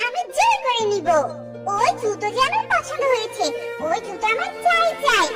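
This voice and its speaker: A woman's voice: very high voice, very animated, normal speed, loud music behind the voice, voice pushed up. A woman voicing a covetous young girl bird in a children's cartoon, bossy, demanding and insistent. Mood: threatening. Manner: demanding, repetitive.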